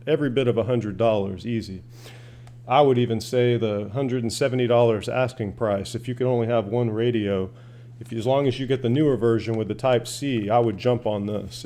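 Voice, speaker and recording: A man's voice: mid-range voice, warm tone. A man giving a casual, plain-spoken, rambling product demonstration as a hobbyist reviewer.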